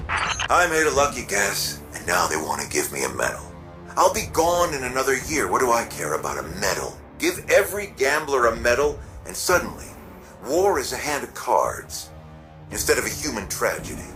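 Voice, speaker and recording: An actor playing a bitter, weary, fatalistic war veteran in a sci-fi game, cynical in manner. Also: male voice, mid-range voice, sing-song, moderate pace, bright, forceful tone, faint music behind. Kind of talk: video game voice acting.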